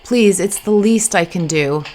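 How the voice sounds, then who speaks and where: A woman's voice: mid-range voice, a little slow, darkish tone, close to an everyday voice. A woman voicing an apologetic, eager man in an audiobook, earnest and flustered. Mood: earnest, insistent. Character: apologetic, clumsy, eager man, rich and charming. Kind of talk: audiobook character dialogue.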